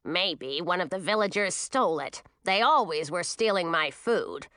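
A woman's voice: medium pitch, animated, normal speed, pushed and bright, smooth, no room sound, studio quality. A woman voicing a grumpy old witch in a storybook, sour and snide, grumbling and muttering her words.

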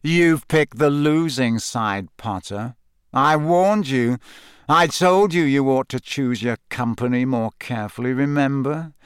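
A man voicing a smug, menacing teenage boy villain in an audiobook, speaking slowly and tauntingly. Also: mid-range voice, smooth, dry recording, studio quality, natural voice. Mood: menacing, gloating. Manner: slow, soft, taunting. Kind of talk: audiobook character acting.

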